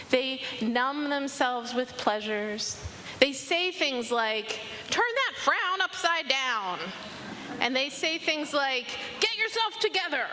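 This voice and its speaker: A woman's voice: high voice, pushed and bright, pitched above the performer's own voice, heavily acted. A woman voicing a timid, sorrowful female spirit in a storybook fairy tale, plaintive and hesitant. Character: Sadness, a timid, forlorn female spirit in blankets. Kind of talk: storytelling to an audience. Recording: reverberant hall, audible background noise.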